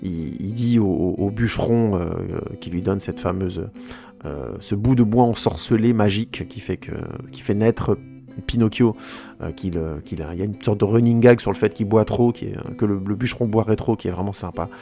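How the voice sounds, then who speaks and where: A man's voice: lowish voice, moderate pace, gravelly, warm tone, faint music behind. A French-speaking man giving a casual, rambling film review for his podcast, often restarting his sentences and hesitating.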